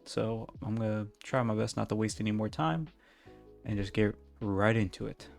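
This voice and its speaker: Low-voiced man reading aloud over music. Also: fairly even intonation, moderate pace, gravelly, a little mellow. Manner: informal, amateur read-aloud. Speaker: fan fiction reader. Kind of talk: storybook narration, casual intro.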